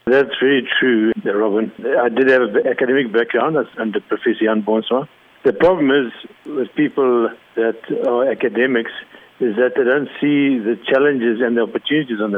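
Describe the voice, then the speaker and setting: A man from Zimbabwe, a cattle rancher, explaining his work in a measured way during an interview. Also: medium pitch, dry recording. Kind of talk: phone interview. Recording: narrow phone line.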